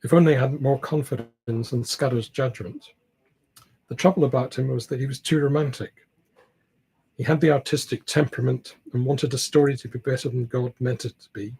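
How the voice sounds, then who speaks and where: A man reading aloud the part of a genial, shrewd upper-class government gentleman from a classic novel. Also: medium pitch, steady tone, a little fast, husky, poor audio. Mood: grave, troubled.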